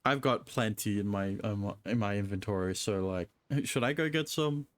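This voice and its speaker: Laid-back woman streamer, extremely low voice, dry close mic. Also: moderate pace, no room sound. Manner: relaxed, hesitant, with fillers. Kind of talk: casual chat over a game.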